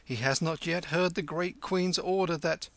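A man voicing a cunning young street boy who flatters and wheedles. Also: a little high, normal speed, creaky, dry recording, voice pushed up. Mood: wheedling.

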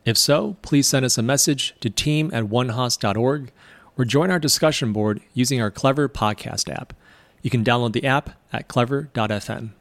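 A man reading a brisk promotional announcement for a podcast. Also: mid-range voice, a bit bright. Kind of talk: read announcement.

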